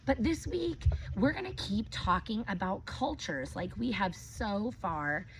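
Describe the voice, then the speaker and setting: A woman teacher reading a picture book aloud to children, gently stopping to ask them questions. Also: medium pitch, expressive, unhurried. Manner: gentle, asks children questions between pages. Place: outdoors with her dogs. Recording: dull lo-fi recording with clear background noise.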